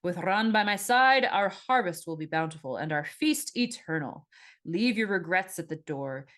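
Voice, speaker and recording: A woman's voice: fairly low pitch, animated, normal speed, a bit bright. A giant, jovial god of the sea, voiced by a woman narrator hosting a feast in a fantasy audiobook. He makes his speeches grandly and with ceremony. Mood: grand, celebratory.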